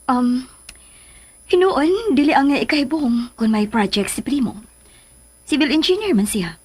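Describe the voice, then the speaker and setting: High, expressive concerned mother. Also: a little slow, slightly rough, exaggerated voice. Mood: soothing. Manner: coaxing, admonishing. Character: concerned, reasoning mother of a teenage girl. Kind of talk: Filipino radio drama acting.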